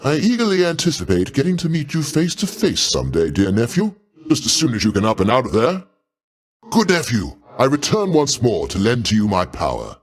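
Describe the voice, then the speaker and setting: A mighty older god king in a video game, speaking like a proud, doting uncle. His delivery is theatrical and courtly, with pitch that swings boldly up and down. He stays genial and grandiose throughout. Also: huge pitch swings, brisk. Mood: hearty, encouraging. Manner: grandiose, avuncular, courtly old-fashioned diction.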